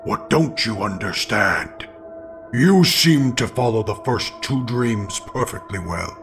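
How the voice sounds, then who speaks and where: A man voicing a sinister doppelganger in a horror audio story, calm and taunting, quiet and cold in a deliberate way. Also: lowish voice, over music.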